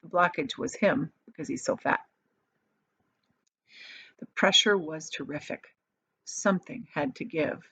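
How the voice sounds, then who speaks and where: A woman reading a children's story aloud as an expressive storyteller. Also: deep voice, a little slow, pauses often, slightly rough, no room sound. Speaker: storybook reader. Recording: slightly dull, dry recording.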